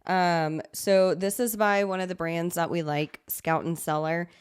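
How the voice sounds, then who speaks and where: A woman's voice: fairly low pitch, steady tone, unhurried, clear, resonant tone. A woman co-hosting a podcast in casual chat, sounding stuffy and nasal from a sinus infection, giggling and joking with many fillers. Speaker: podcast co-host.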